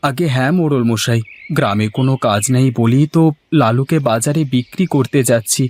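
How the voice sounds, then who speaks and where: A man's voice: mid-range voice, fairly quick, lightly acted. A man voicing a poor, caring village farmer in a moral-story cartoon, earnest and plaintive. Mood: respectful, plaintive.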